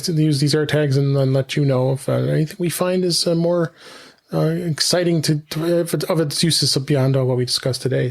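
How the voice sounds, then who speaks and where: A man with a slightly high voice hosts a tech podcast in a casual, conversational style. He speaks haltingly, often starting a phrase over before carrying on. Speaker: podcast host.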